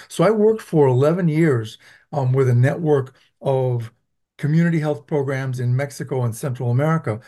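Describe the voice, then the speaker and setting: A man from Venezuela who teaches public health, answering interview questions in a measured, explanatory way with frequent ums. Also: mid-range voice, warm tone. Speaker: public health professor, guest.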